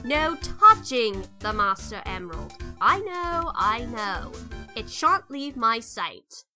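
A woman voicing a fussy, excitable female pika archaeologist in a cartoon fan dub, babbling in prim, theatrical phrases. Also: on the high side, slow pace, full character voice. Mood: reassuring. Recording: music behind the voice.

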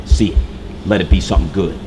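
A man with a deep voice delivers a motivational speech. He is solemn and measured, speaking in short commanding phrases, with noticeable ambient noise behind him. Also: steady tone. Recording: noisy ambient background.

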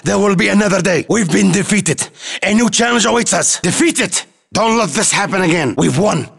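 High, commanding military game announcer. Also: male voice, normal speed, creaky, a bit bright, husky, exaggerated voice. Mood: grave. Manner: emphatic, clipped military delivery. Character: commanding male OpFor military battle announcer, urgent and stern. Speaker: video game multiplayer announcer. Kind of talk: short scripted announcer lines.

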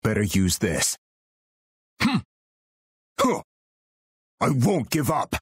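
A man voicing a stoic, loyal royal guardian in a video game, husky, solemn, terse and formal. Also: mid-range voice, huge pitch swings, slow pace, dry recording, clean studio sound, heavily acted. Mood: reserved, then resolute. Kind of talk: video game battle voice lines.

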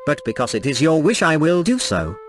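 A man's voice: on the high side, very animated, fairly quick, slight background noise. A man voicing a dutiful young fisherman who speaks in a formal, polite and anxious way. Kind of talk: storybook reading.

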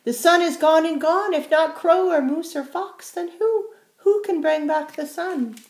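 Casting: woman to play a tiny earnest chickadee in a storybook, high and singsong. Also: unhurried. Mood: plaintive. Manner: singsong chirping. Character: small, chirping, earnest female chickadee bird. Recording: roomy, echoing space.

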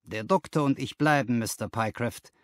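A man voicing an excited, commanding detective in an audiobook, with emphatic exclamations. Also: medium pitch, moderate pace, dry recording, studio quality, lightly acted. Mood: assured, commanding. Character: excited, commanding male detective, sharp and assured. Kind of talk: audiobook character dialogue.

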